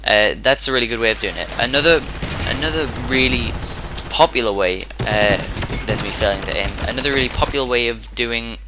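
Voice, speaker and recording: A man with a hoarse, sore-throated voice giving casual commentary over his own gameplay, rambling and often restarting his sentences. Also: medium pitch, a little fast, hardly any pauses, background noise. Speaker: gaming commentator.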